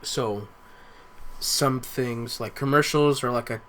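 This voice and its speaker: A young man talks through his notes in a casual podcast recap. His voice sits at an average pitch, and there is a little noise behind him. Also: slow, a bit bright. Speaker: podcast co-speaker.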